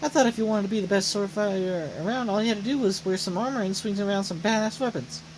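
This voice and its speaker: A woman's voice: medium pitch, fast, slight background noise, close to an everyday voice, low-quality recording. A woman voicing an earnest, brash young female sword fighter in an anime game, eager and blunt. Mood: earnest, determined. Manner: eager, blunt, plain-spoken. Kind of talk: voiced reading of anime game dialogue. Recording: loud game music behind voice.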